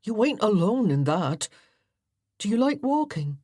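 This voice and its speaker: Lad, blunt and laconic, put on by a woman, loud and plain. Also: medium pitch, very animated, a little slow, dry recording, clean studio sound, pitched above the performer's own voice. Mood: easygoing. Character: plain-spoken, easygoing country lad.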